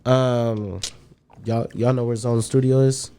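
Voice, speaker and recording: A man's voice: medium pitch, little pitch movement, slow, warm tone, smooth. A man from Vancouver who grew up in Portland, chatting animatedly on a podcast with lots of repetition and street slang. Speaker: podcast guest. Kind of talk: casual chat.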